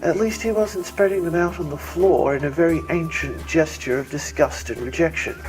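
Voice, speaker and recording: A man's voice: fairly high pitch, moderate pace, a little mellow, low-quality recording. A man reading their own science fiction story aloud as scripted audiobook narration. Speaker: author reading own novel. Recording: music bed behind.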